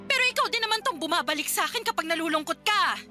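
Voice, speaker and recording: A woman's voice: extremely high pitch, animated, racing, over music. A woman acting a frustrated young woman in an emotional radio drama argument with her boyfriend. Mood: arguing, bitter. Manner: emotional, pleading and accusing.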